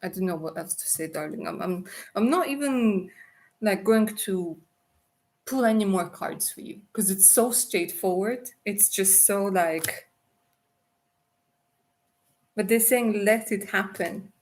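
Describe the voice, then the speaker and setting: A woman's voice: medium pitch, takes it slowly, pauses often, a little mellow. A woman doing a live tarot reading on a stream, chatty and affectionate, calling viewers darling. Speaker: tarot reader.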